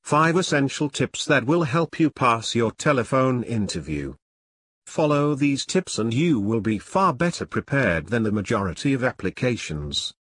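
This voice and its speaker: A man delivers scripted tutorial narration at an average male pitch. His delivery is measured and formal, with deliberate phrasing as he gives advice. The voice is close on the mic, with a very dry sound free of room reflections. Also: very animated, a bit bright, husky, dry recording. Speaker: presenter of career advice tutorial.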